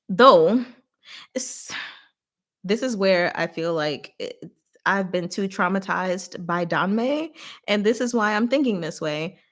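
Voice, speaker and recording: A woman hosting a casual live book discussion, retelling the plot in an animated, giggly, informal way with acted-out asides. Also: medium pitch. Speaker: host of a livestream book discussion. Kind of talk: casual chatty live story recap with commentary.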